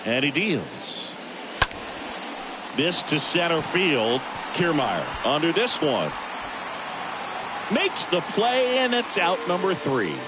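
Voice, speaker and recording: A man's voice: a little high, sing-song, slow, pauses often, heavy background noise. A man playing a baseball play-by-play announcer, calling the action in a video game's sports commentary. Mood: steady, attentive.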